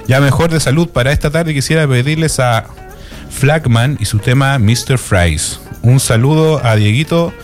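A man's voice: medium pitch, moderate pace, soft background music. A man in his forties with a Chilean accent, hosting a casual radio show and chatting between listener messages. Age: 40s. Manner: chatty, reads listener messages aloud with friendly asides. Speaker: radio host.

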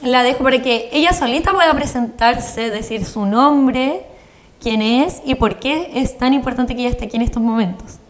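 Higher-pitched Chilean woman on radio. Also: moderate pace. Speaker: radio show regular introducing a guest. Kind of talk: live radio conversation.

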